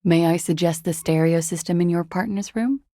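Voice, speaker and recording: An actress playing a flippant, worldly, evasive young woman in a video game, speaking with a languid, deadpan wryness. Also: on the low side, normal speed, dry recording, clean studio sound, lightly acted. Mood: wistful, detached. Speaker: video game character voice actor. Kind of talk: video game dialogue acting.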